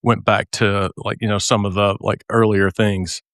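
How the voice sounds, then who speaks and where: A man hosting a podcast interview, animated and quick to react. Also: lowish voice, no echo, studio quality. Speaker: podcast host.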